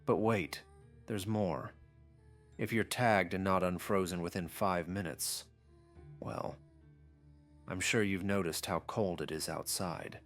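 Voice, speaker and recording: A man's voice: low-pitched, fairly even intonation, slow pace, pauses often, fairly bright tone, faint music behind, heavily acted. A man voicing a gleeful, sadistic godlike game master in an anime audiobook, taunting and mockingly amused. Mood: menacing amusement.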